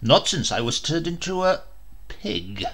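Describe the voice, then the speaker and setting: A man's voice: very animated, a little slow, fairly bright tone, full character voice. A man reading a comic storybook and voicing a jovial, mocking knight prince who teases a lying bird. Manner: booming, teasing.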